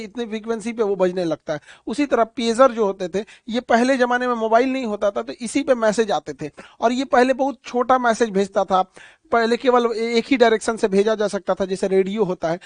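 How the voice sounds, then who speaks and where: A man's voice: very high-pitched, dry recording. A man explaining current affairs like a teacher, in colloquial language with repeated phrases and everyday examples.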